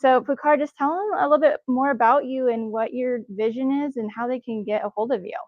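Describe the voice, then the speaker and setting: A woman's voice: sits high, a little fast, dry recording, phone-quality sound. A woman hosting a live wellness event, introducing guests with enthusiasm and plenty of fillers. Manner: enthusiastic, cheering, frequent fillers. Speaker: event host. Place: indoor event space.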